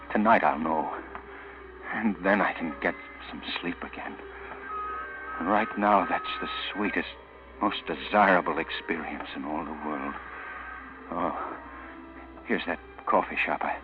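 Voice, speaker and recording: A man's voice: sits low, unhurried, pauses often. An actor playing an anguished, guilty man on the run in a 1940s radio drama. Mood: brooding torment. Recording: muffled old radio recording with music.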